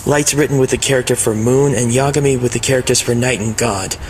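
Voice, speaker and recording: A man's voice: mid-range voice, fast, a little hiss or hum, lightly acted, poor audio. A man playing a polite, calculating teenage student in an anime dub, with secret schemes under the courtesy. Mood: polite, persuasive. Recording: voice under loud background music. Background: loud music.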